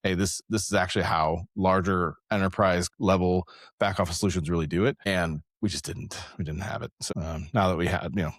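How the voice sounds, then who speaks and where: A man with a deep voice talks casually as an interview guest. He rambles a little, reworking his phrases as he thinks aloud. The sound is dry, with very little room in it. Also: fairly even intonation, brisk, no room sound. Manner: rambling, conversational, thinks aloud with repeated rephrasing. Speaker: interview guest, software developer and engineering leader.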